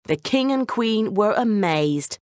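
A woman narrating a scripted children's bedtime story in an expressive storytelling manner. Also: mid-range voice, slow, no room sound, studio quality.

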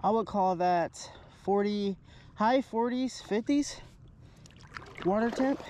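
A man's voice: high voice, slow pace, a little mellow. A young man vlogging while kayak fishing on a windy lake, talking casually and rambling with fillers. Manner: laid-back, rambling, many fillers. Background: background music, wind and water.

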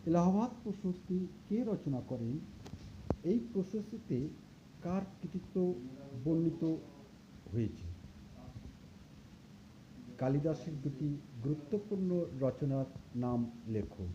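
Teacher, exam-question read-out, deliberate short phrases, dull lo-fi sound, noticeable background noise. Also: mid-range voice, expressive. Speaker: teacher going through an exam paper. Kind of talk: reading out and explaining exam questions. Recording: muffled lo-fi recording with clear background noise.

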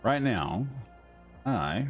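Deep-voiced man, relaxed game streamer. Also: drawn-out words. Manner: relaxed, rambling, talks himself through each step. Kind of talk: casual gameplay commentary. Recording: slightly dull audio with faint music.

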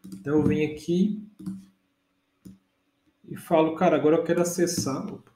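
A man's voice: a little high, steady tone, moderate pace, dark tone, reverberant, a little hiss or hum, rough recording. A man in his thirties with a Brazilian Portuguese accent, teaching a casual data engineering lesson step by step and often checking that his listeners follow.

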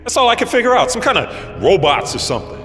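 Excitable, swooping prison inmate. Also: male voice, high-pitched, fast talker. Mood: uneasy, gossipy. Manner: rambling, eager, swooping between loud bursts and mutters. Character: excitable, foul-mouthed, fawning male prison inmate. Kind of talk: cinematic video game acting. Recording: echoey hall with loud music behind.

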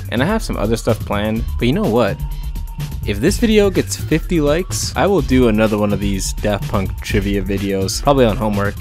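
A man giving a casual, playful and enthusiastic scripted voice-over about music. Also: fairly low pitch. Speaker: music video essayist.

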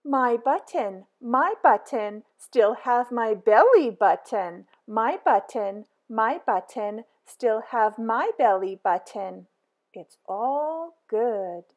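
A woman reading a children's picture book aloud in a slow, sing-song way, with big excited exclamations. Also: on the high side. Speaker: storybook reader.

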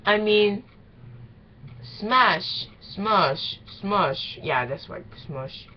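A young woman doing a casual reaction vlog in halting, exclaiming, informal English. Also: mid-range voice, wildly swooping pitch. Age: young adult. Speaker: reaction video maker. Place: small bedroom, indoors. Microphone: webcam at arm's length. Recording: dull lo-fi webcam sound, faint noise.

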